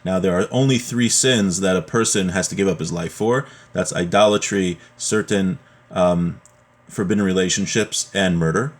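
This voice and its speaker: Guy with a lowish voice teaching a religion class, reads the text then explains it, asks rhetorical questions. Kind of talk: informal religious text lesson, reading and explaining.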